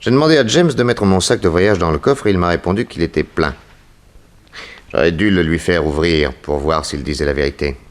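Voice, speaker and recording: An actor playing a shrewd, suspicious detective in a 1960s radio mystery, with a probing, decisive and measured delivery. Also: male voice, low voice, lightly acted. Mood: grave. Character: shrewd, suspicious male detective, composed and authoritative. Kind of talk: 1960s French radio mystery serial acting.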